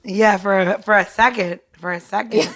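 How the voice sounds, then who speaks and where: A woman's voice: mid-range voice, steady tone, normal speed, fairly bright tone, faint music behind. A woman co-hosting a podcast in casual banter, playful and emphatic, readily wandering off on tangents. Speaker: podcast co-host.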